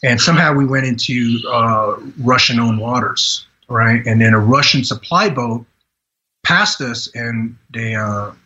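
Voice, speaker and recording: Podcast guest, male, 40s, from Vietnam. Earnest storyteller who restarts often. Sharp tone, muffled sound. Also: medium pitch. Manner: earnest, halting delivery with frequent "you know" restarts. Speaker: podcast guest, retired Green Beret. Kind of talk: personal storytelling in a podcast interview.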